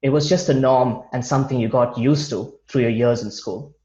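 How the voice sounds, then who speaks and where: A man presents a prepared talk over a video call. He reads from notes at an even, careful pace and sometimes restarts a word. The sound is roomy and dull. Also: medium pitch. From India. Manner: measured, read-from-notes delivery with occasional restarts. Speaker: guest speaker. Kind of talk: online presentation over slides.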